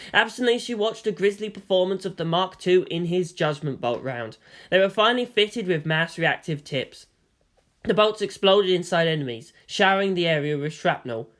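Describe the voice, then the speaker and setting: A woman reading fan fiction aloud in a lively, expressive narrating voice. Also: fairly low pitch. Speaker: audiobook reader.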